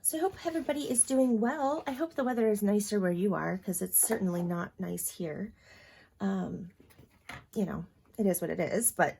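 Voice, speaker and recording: A sniffly woman talks through a craft project in a relaxed, rambling way, with plenty of ums along the way. Her voice has a gravelly fry and sits at a middle pitch. Faint noise hums behind her. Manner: chatty, many ums and asides. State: sniffly from allergies. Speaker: crafting channel host. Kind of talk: casual crafting tutorial.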